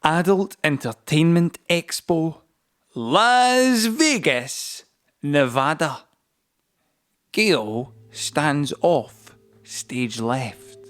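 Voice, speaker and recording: A man acting as the storyteller of an audio play, narrating in a measured, suspenseful, dramatic way. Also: on the high side, animated, fairly bright tone, exaggerated voice. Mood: tense, suspenseful. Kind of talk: dramatic scripted third-person narration. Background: music score.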